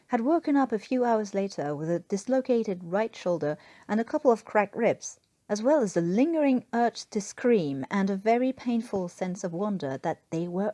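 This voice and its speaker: Mellow-voiced woman reading a story. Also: mid-range voice, no room sound. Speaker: podfic reader and story author. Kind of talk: scripted fan fiction narration.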